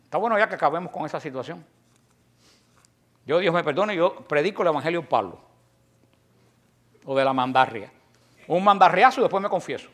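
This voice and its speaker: A man's voice: animated, brisk, long pauses. Fiery Cuban man preaching to the faithful. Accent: Cuban Spanish. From Cuba. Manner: forceful, preachy, emphatic with sudden drops to a low voice, joking asides. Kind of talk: impassioned religious talk.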